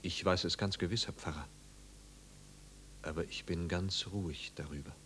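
Melancholy dreamy young guy, 1950s radio drama, low halting voice, eerily calm, bit of hum. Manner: halting, subdued, trance-like.

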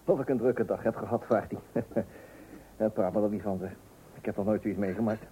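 A man's voice: fairly low pitch, fairly quick, warm tone, slight background noise, lightly acted. An actor playing a flustered, put-upon husband and father in a radio comedy, hesitant, stammering and repeating himself. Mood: weary, bustling. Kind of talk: Dutch radio comedy play acting. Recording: thin, phone-like old radio recording.

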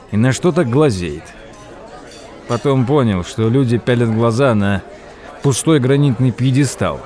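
An actor playing a wry man who tells tall tales in an audio play, theatrical and deliberate, with dramatic pauses. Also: mid-range voice, a little mellow, close to an everyday voice. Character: wry male raconteur telling tall tales over drinks. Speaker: narrator. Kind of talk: dramatized first-person storytelling in an audio play. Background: background music.

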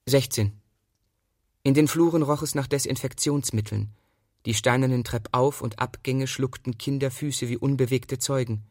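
A man reading a literary text aloud as scripted narration, in a measured and precise manner. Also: mid-range voice, fairly even intonation, a little mellow, no echo, clean studio sound. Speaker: reader of literary prose.

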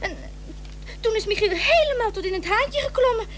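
An actress playing a young neighbour girl in a radio play, halting and childlike as she brings alarming news. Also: extremely high pitch, expressive, a bit bright. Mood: excited, anxious. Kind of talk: Dutch radio play acting. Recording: hissy old radio play recording.

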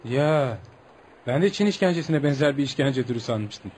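An actor playing a curious, kindly foreign gentleman writer who gives patient, reassuring advice in a Turkish radio play. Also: medium pitch, lively intonation, moderate pace, natural voice. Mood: curious, shocked. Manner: avuncular, inquisitive, reassuring. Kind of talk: Turkish radio theatre acting. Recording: background noise and ambience.

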